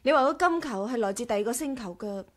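Sensible direct adventurous wife, high voice, Hong Kong Cantonese, radio drama. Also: female voice, animated, quick pace. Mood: curious. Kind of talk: 1980s radio drama acting.

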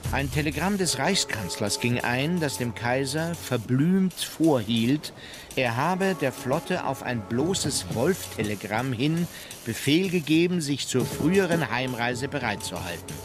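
Male actor for restrained admiral-narrator in historical radio play: mid-pitched, factual, with music underneath. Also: expressive, unhurried, natural voice. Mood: composed. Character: composed German admiral recounting his memoirs. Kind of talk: scripted memoir narration in audio drama.

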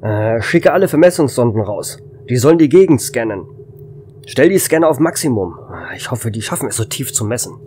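A man's voice: mid-range voice, animated, fairly quick, a little mellow, a little hiss or hum, close to an everyday voice. A man playing a tense space station operator in an amateur German sci-fi audio drama. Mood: alarmed.

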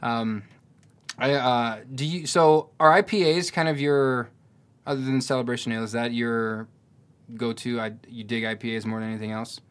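A man's voice: mid-range voice, fairly even intonation, normal speed, clean tone. Laid-back podcast host man in his thirties. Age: 30s. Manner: laid-back, says "dude" a lot. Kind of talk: casual chat.

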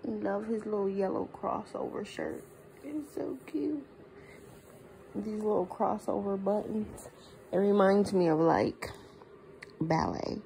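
A young woman, a mother, narrating a casual family vlog at home into a close-held phone, softly cooing to her baby. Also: mid-range voice, slow pace, gravelly, long pauses, slight background noise, low-quality recording. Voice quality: soft, cooing baby talk. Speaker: vlogger mother. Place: home living room. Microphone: phone camera held close.

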